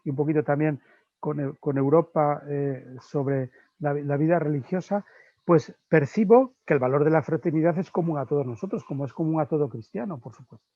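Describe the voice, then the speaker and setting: A middle-aged man with a Spanish accent, speaking a little higher than most men, gives a thoughtful spiritual lecture. He stops often to look for words and tags his points with small questions. The sound is dry and somewhat dull, as on a lo-fi recording. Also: a little fast, no room sound. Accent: Spain Spanish. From Spain. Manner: reflective, often adds "¿no?" and "eh" while searching for words. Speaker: Dominican friar giving a lecture. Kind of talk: spiritual conference talk.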